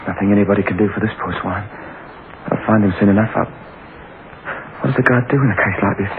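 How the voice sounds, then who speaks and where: A man's voice: on the low side, steady tone, quick pace, a little hiss or hum, close to an everyday voice. An actor playing a desperate, poor unemployed British man, telling his story dramatically in an old-time radio drama. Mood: anxious. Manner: dramatic first-person storytelling, colloquial. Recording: tinny old radio broadcast with music.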